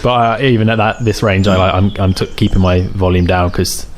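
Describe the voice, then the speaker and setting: A man's voice: deep voice, fairly even intonation, a little fast, noisy background. A man playing a tabletop game, chatty and giggly. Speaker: player. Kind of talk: casual tabletop game play.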